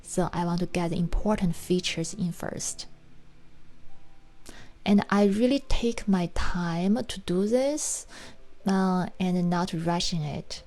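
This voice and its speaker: A woman from China with a Chinese accent, gently narrating a watercolor painting tutorial in unhurried explanations. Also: on the low side. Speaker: watercolor art teacher and farm owner.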